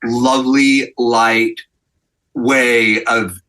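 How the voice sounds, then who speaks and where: A man who writes for television, reflecting on past experiences in a halting, searching way during a podcast conversation. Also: medium pitch, little pitch movement, pushed and bright, poor audio. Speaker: television writer, panel guest.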